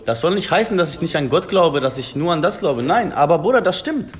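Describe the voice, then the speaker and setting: A man's voice: on the high side, lively intonation, a little fast. Earnest young man on a video call. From Germany. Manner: earnest, repeats "Bruder" often. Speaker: rapper, interview guest. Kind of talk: casual video-call chat. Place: indoor room at a table. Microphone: phone video call.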